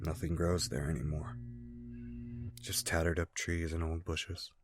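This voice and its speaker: A man voicing a stern, cynical know-it-all in a comic dub, speaking in a measured, solemn and dry way. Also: a bass voice, flat delivery, noisy background. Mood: solemn, grave. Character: stern, cynical male know-it-all recounting grim island history. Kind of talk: dramatic comic dub acting. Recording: voice over loud background music.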